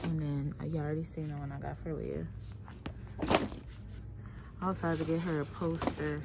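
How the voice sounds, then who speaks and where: A woman's voice: deep voice, little pitch movement, moderate pace, creaky, heavy background noise. A woman in her thirties vlogging a shopping trip, talking half to herself as she browses a big store on a handheld phone. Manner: muttering to herself while browsing. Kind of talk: casual shop-along commentary. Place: indoors in a large store. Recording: loud store ambience and crinkling packages.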